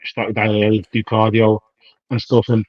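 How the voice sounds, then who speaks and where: A man's voice: fairly low pitch, monotone, moderate pace, no echo, lo-fi sound. A man in his thirties, a professional bodybuilder, chatting casually in an interview, rambling in slang and acting out past conversations. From United Kingdom. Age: 30s. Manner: rambling, slangy, acts out quoted dialogue.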